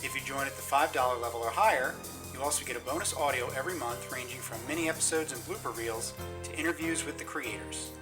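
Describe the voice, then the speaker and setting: Music plays behind a male announcer with a medium-pitched voice. He reads a scripted announcement in the manner of show credits. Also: quick pace. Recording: clear music bed behind voice.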